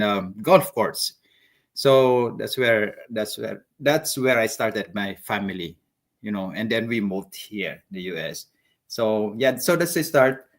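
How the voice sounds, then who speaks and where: A man's voice: lowish voice, fairly bright tone. A man from the Philippines with a Filipino accent, a guest in a casual interview, telling his story in a friendly, easygoing way.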